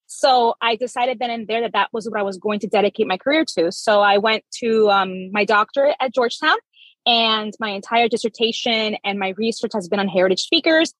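A woman's voice: fairly high pitch, brisk, a bit bright, no echo, low-quality recording. A woman from Miami speaking on a panel as an educator and researcher, earnest and personal. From Miami, Florida. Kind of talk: conversational panel answer.

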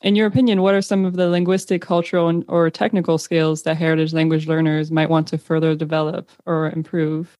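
A woman moderating a panel, reading out her questions in a brisk, scripted way over a video call. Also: deep voice, flat delivery, dark tone, dry recording, studio quality.

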